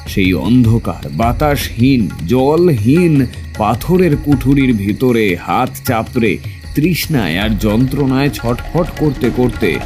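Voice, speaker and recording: A man playing a fearful heir of a cursed landlord family, telling his tale in a grave, drawn-out way in a horror audio drama. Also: medium pitch.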